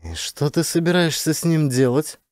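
A man's voice: a little high, moderate pace, a little mellow, voice pushed up, lightly acted. A man voicing a tense female space expedition commander, urgent and demanding in her questions, in an audiobook drama.

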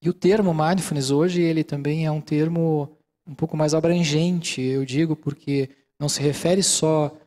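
A middle-aged Brazilian man giving a calm, explanatory lecture in a large auditorium, speaking into a handheld microphone and often checking in with the audience. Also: fairly high pitch. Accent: Brazilian Portuguese. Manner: calm, explanatory, many fillers like "né". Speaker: mindfulness lecturer. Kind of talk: relaxed lecture with audience questions.

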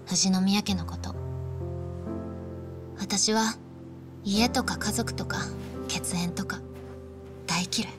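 A woman's voice: medium pitch, takes it slowly, pauses often, music in the background, natural voice. An actress playing a frank, guarded young woman in a video game drama, speaking in a casual way. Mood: bitter, wistful. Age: young adult.

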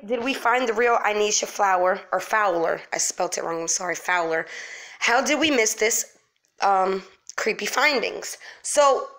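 Woman, higher-pitched voice, casual video host, informal, emphatic repetition, sick and losing her voice. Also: fairly bright tone. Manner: informal, repeats words for emphasis. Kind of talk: casual chat to followers, commentary.

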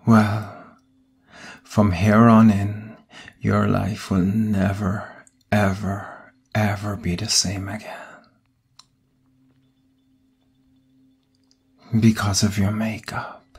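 A man playing an ancient vampire captor in a whispered ASMR roleplay, soothing yet menacing, coaxing softly and deliberately. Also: fairly low pitch, level tone, full character voice. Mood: soothing, ominous. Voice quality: breathy whisper. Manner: slow, hushed, deliberate, coaxing. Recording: close voice over background music. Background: music.

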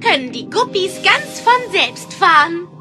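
High, lively cartoon inventor. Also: female voice, unhurried, over music, heavily acted. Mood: confident. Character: cheerful, confident female animal inventor in cartoon.

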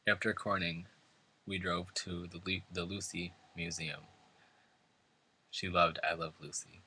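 A man's voice: low-pitched, level tone, measured pace, pushed and bright, breathy edge, low-quality recording. A man from New York State reading a prepared eulogy at a funeral, earnest and emotional. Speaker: son giving a eulogy. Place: funeral service before family and friends.

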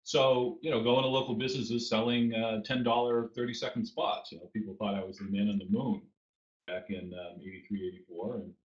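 Fiftyish Boston man, lowish voice, rambling guest, roomy muffled webcam audio. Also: level tone, fairly quick. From Boston area. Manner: rambling with fillers and restarts. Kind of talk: interview answers. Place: home room. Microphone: video call webcam.

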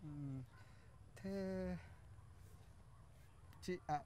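Bashful, flustered Northern Vietnamese man. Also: drawn-out words. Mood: awkward. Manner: hesitant, flustered, stammering exclamations. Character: awkward, bashful, solicitous man living alone. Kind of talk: comedy sketch acting.

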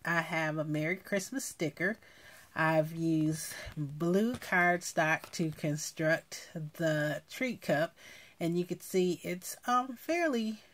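Female craft vlogger, low and slow, casual and halting in her explanations. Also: a bit bright. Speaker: craft video host. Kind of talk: casual craft project showcase.

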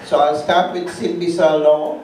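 A man's voice: a little high, little pitch movement, normal speed, darkish tone, a little hiss or hum. A man hosting a startup meetup and making announcements, with a rambling manner and frequent restarts. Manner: rambling, restarts often, enthusiastic. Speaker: meetup organizer and host. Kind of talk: live event announcements. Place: large dark event hall, at a lectern. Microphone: handheld mic through PA, filmed from far. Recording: echoey hall, distant camera.

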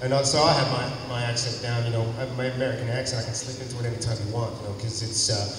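A man's voice: mid-range voice, flat delivery, rapid-fire. A man, chatty and full of anecdotes on a convention panel. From Australia. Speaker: panel guest actor. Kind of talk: casual convention panel talk. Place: large convention hall panel, indoors. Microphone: handheld mic. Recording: echoey hall, far from the mic, crowd noise.

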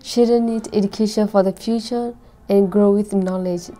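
Soft flat-voiced teenage girl telling her story. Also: medium pitch, moderate pace. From Burma. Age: late teens. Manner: careful, simple phrasing. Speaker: high school student. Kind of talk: reading a personal story.

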